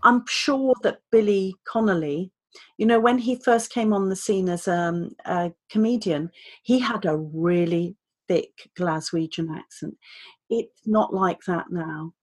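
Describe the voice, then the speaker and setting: Podcast guest: woman speaking trainer, measured and articulate, mid-range voice. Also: mid-range voice, darkish tone. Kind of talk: conversational interview.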